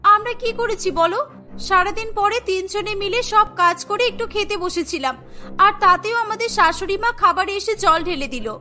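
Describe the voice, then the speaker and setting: A woman's voice: very high-pitched, normal speed, sharp tone. A woman playing a wronged young daughter-in-law in a cartoon melodrama, plaintive and protesting. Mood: defensive. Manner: pleading, protesting. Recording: music bed behind the voice.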